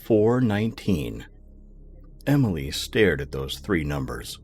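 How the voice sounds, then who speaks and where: Man acting as measured storyteller, very deep, expressive, suspenseful audio drama. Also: faint music behind, full character voice. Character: measured, suspenseful male narrator of a mystery. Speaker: narrator. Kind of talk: dramatic scripted story narration.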